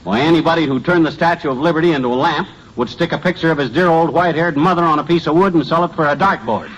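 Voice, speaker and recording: An actor playing a blustery, exclaiming American husband in a vintage radio comedy. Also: male voice, a little high, fast talker, highs cut off, natural voice. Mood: exasperated. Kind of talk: 1940s-50s American radio comedy acting. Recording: noisy old radio recording, studio audience.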